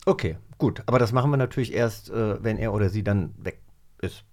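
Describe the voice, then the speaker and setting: A woman hosting a podcast in casual chat, speaking in an excitable, expressive way. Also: a bass voice, fairly quick. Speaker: podcast host.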